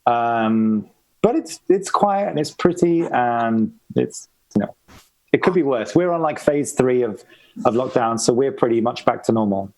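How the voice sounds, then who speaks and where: An Englishman speaks easily and good-humouredly as a guest on a video call. His voice sits at an ordinary middle pitch. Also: expressive, unhurried, a little mellow, poor audio. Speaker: guest musician. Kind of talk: casual video-call interview.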